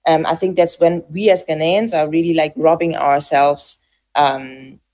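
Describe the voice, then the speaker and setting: A woman giving reflective interview answers, pausing often with fillers and restarting her sentences as she works out her thoughts. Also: low-pitched, steady tone, darkish tone. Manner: hesitant, frequent fillers and restarts. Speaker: interviewee, farm founder.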